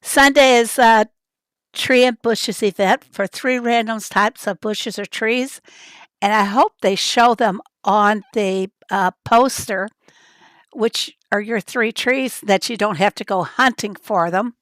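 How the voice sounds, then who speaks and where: Raspy-voiced woman with a summer cold. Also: mid-range voice, takes it slowly, fairly bright tone, no room sound. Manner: chatty, rambling, reads out chat names. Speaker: livestream host. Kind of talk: casual livestream chat.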